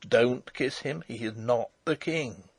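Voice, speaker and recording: A man voicing a blunt, guarded old colonel in a low, clipped, gruff voice. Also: slow, no room sound.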